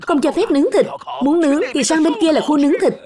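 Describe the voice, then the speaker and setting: Woman voicing a stern high-pitched cartoon park warden. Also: fast talker, crowd noise, close to an everyday voice. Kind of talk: children's cartoon dub.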